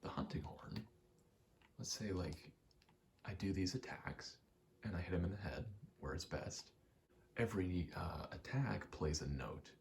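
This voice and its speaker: Very low, gravelly, soft-spoken man. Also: animated, a little hiss or hum. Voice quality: soft-spoken, breathy, often dropping to a whisper. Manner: halting, meandering, casually profane. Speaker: gaming video creator. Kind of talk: rambling ASMR game commentary.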